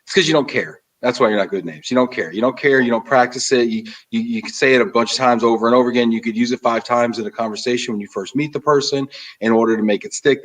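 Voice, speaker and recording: A man hosting a casual interview, talking in an animated, emphatic way as he gives advice. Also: mid-range voice, distant mic, poor audio. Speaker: host. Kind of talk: casual interview and live chat.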